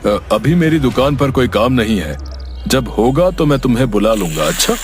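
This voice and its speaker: A man's voice: mid-range voice, big pitch swings, normal speed, faint music behind, lightly acted. A man voicing a polite but doubtful sweet-shop keeper in a cartoon story, speaking hesitantly. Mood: doubtful, apologetic. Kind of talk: cartoon moral story acting.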